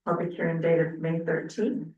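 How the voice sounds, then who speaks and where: A woman's voice: low voice, steady tone, moderate pace, darkish tone, dull audio, a little hiss or hum. A woman chairing a council meeting in formal procedural style, recorded in a meeting room. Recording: echoey room, distant from the mic.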